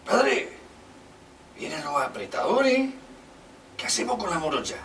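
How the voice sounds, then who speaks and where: Radio drama actor as protective, worried Argentine man; lively pitch swings, distant mic, slight noise. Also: a little slow, heavily acted. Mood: tense.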